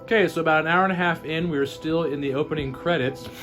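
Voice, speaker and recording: A man's voice: on the high side, fast, over music. An American man hosting a vlog with casual, chatty commentary in an informal, jokey, incredulous manner. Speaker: vlog host.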